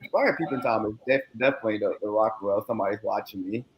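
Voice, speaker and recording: A man in a middle register talking fast and eagerly, rambling on with "you know" and "like". His sound is a bit dull and lo-fi, as if through a modest mic. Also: lowish voice. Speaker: guest musician. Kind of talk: casual interview chat.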